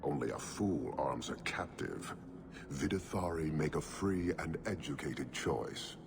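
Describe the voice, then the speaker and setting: An extremely deep voice belongs to a towering horned commander for a video game. He is stern and disdainful, making grave pronouncements very slowly, with noise behind him. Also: sing-song, creaky, full character voice. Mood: stern, dismissive. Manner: deliberate, grave, declaring in clipped formal statements. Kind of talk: video game cutscene acting.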